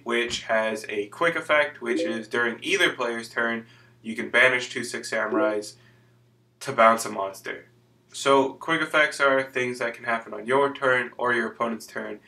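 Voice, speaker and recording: Hoarse man explaining card game rules. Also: mid-range voice, bright, forceful tone, recorded from a distance. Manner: loose, rambling explanations with fillers. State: hoarse from illness. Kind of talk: casual explanatory tutorial.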